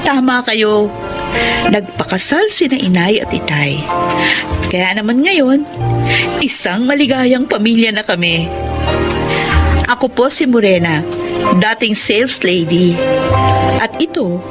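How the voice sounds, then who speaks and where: A grateful, devout young Filipina narrating her own story in a radio drama. She speaks slowly and expressively over loud music, heartfelt throughout. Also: fairly high pitch, animated. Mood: grateful, happy. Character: grateful, devout young former sales lady. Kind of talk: first-person dramatic narration.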